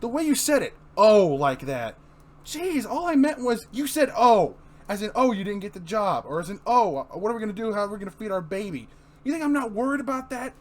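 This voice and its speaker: Man playing a high-strung, anguished failing comedian. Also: big pitch swings, a little fast. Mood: defensive, anguished. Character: nervous, defensive, failing male comedian, anguished husband. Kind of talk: amateur dramatic comic book reading.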